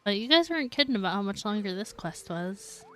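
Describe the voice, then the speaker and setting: A woman's voice: medium pitch, big pitch swings, a little fast, a bit bright. Wry woman streamer commenting offhand. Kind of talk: casual gameplay commentary.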